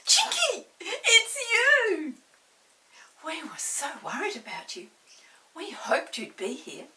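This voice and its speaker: A woman's voice: very high-pitched, very animated, slow pace, bright, forceful tone, voice pushed up, exaggerated voice. A woman voicing an eager, anxious schoolgirl in a children's storybook, exclaiming breathlessly. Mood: excited relief. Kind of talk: children's storybook character reading. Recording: distant mic with faint hiss.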